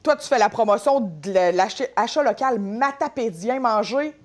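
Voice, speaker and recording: A woman with a Quebec French accent leads a relaxed interview, her voice sitting a bit high. She asks questions in a friendly, chatty manner. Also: normal speed. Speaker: radio host. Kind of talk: casual interview.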